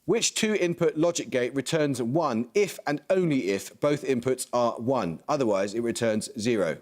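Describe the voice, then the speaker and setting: A man runs a quiz show, reading each question in a brisk, formal way. His voice sits in an ordinary middle pitch. Every word is precise and measured. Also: lively intonation. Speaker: quizmaster.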